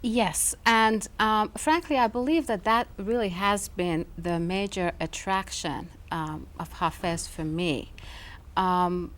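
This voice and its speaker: A woman from Iran, speaking as a guest in a television studio interview, soft-spoken and thoughtful, pausing often with "um". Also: mid-range voice. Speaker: guest, author. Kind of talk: interview conversation.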